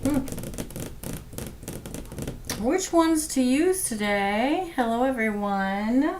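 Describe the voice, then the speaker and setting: A hoarse woman who is losing her voice, hosting a livestream reading and chatting softly and slowly. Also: high-pitched, lively intonation. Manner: soft-spoken, slow and chatty. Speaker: tarot reader hosting a livestream. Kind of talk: casual livestream chat.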